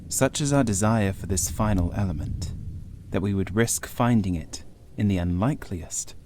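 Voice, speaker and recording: A man reading a scripted first-person gothic horror story in a measured, literary manner. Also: low-pitched, darkish tone, a little hiss or hum. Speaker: audiobook narrator.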